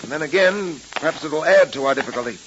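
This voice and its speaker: A man's voice: on the high side, animated, fast, close to an everyday voice. An American man playing a resolute, principled police detective in a 1930s radio serial, with earnest, formal phrasing. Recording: muffled, hissing old radio recording.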